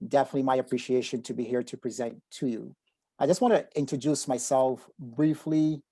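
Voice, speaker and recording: Barbadian male therapist presenting, mellow tone, dry close sound, measured formal delivery. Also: medium pitch, fairly even intonation, husky, dry recording. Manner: measured, formal phrasing with frequent fillers. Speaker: psychotherapist presenter. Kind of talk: webinar presentation.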